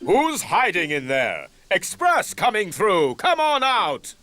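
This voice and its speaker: A loud-edged, bossy express engine. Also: male voice, sits high, huge pitch swings, slow, exaggerated voice. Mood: impatient, commanding. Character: big, bossy, impatient male express engine. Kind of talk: children's cartoon voice acting.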